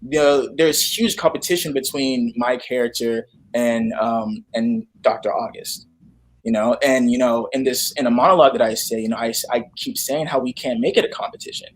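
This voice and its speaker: A man giving a casual, earnest interview answer, halting and circling back over his phrases. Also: medium pitch, steady tone, slight background noise, poor audio. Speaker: guest, actor.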